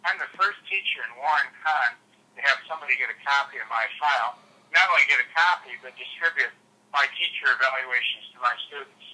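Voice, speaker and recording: An agitated middle-aged American man speaks over a tinny phone line. His voice sits at a medium pitch and is very sharp and forceful. He vents insistently, with profanity, as in a heated private call. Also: quick pace. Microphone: telephone.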